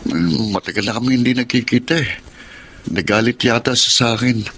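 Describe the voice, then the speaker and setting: A man's voice: medium pitch, a little fast, a bit bright, slight background noise, natural voice. A man acting an earnest, dutiful young man with a Filipino accent in a radio drama. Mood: reassuring.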